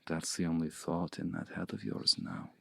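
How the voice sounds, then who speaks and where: A man playing a seductive, commanding male vampire in an intimate ASMR roleplay, speaking slowly and deliberately in a hushed, breathy voice close to the mic. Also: completely flat delivery, no room sound, heavily acted. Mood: smug, teasing. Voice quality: low, gravelly, breathy. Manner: slow, deliberate, hushed, often breathy near-whisper. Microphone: close mic, dry.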